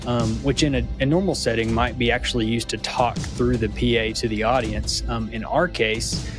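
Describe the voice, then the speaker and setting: A man's voice: medium pitch, steady tone, moderate pace. Guy in a casual testimonial interview, matter of fact, explaining stuff, bit of music behind him. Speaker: church communications director.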